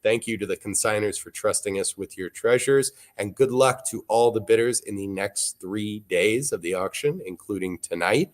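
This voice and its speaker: Low-voiced man hosting a live auction show. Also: normal speed, hardly any pauses. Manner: relaxed, wry, informative.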